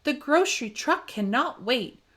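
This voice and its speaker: A woman reading a children's picture book aloud with expressive, rhythmic phrasing and dramatic emphasis for young listeners. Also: high-pitched, measured pace. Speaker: children's story reader.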